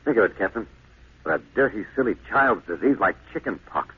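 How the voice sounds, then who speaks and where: An actor playing an idealistic, brooding archaeologist in a 1950s radio drama, earnest and intense, with a husky voice. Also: male voice, lowish voice, animated, a little fast. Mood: bitter, outraged. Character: idealistic, brooding male archaeologist on a space crew. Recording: muffled, tinny 1950s radio recording.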